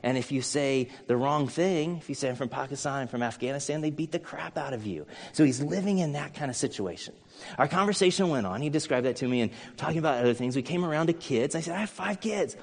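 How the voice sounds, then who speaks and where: A man's voice: medium pitch, expressive, fast. A middle-aged American man preaching with an earnest, conversational manner. His voice sits in an ordinary middle register. It rings out with a long hall reverb, as if from a church hall. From United States. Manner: earnest, conversational, with storytelling asides. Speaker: pastor. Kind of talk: sermon.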